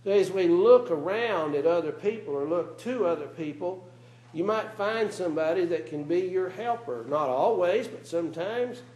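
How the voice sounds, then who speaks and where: A man's voice: high voice, lively intonation, moderate pace, a little mellow. Earnest male preacher, roomy church echo. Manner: earnest, asks the congregation rhetorical questions. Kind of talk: sermon. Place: church meeting room.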